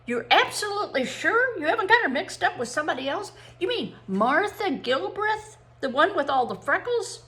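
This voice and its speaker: A woman's voice: mid-range voice, animated, measured pace, hardly any pauses, a bit bright. A woman reading a storybook as a mischievous teenage boy who teases with exaggerated mock astonishment. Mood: mock incredulous.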